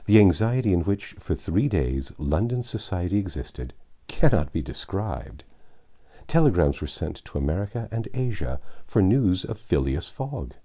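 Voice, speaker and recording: Male narrator, low mellow voice, very animated intonation, theatrical storybook reading. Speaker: audiobook narrator. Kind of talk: scripted narration of a classic novel.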